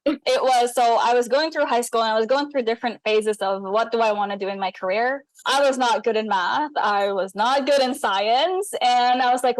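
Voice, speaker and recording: A woman's voice: a little high, no echo, rough recording. A young woman from the Philippines, a guest in a casual interview, talking earnestly and upbeat with frequent laughs. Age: young adult.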